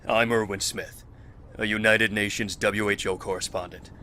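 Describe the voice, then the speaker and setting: A man playing a calm, guarded health official in a zombie outbreak audio drama, speaking in a measured, formal way. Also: on the low side, a little hiss or hum. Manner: measured, formal, matter-of-fact.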